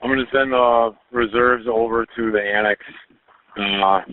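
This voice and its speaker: A man's voice: medium pitch, steady tone, a little slow, dry recording. A man speaking as a police officer, reporting over a narrowband two-way radio.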